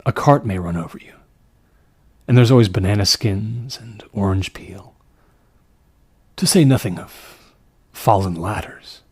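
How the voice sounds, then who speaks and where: A man's voice: lowish voice, unhurried, long pauses, breathy edge, no echo. A man voicing an earnest, plain-spoken village stonemason from a horror story, with a folksy, emphatic and serious manner. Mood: intensely serious.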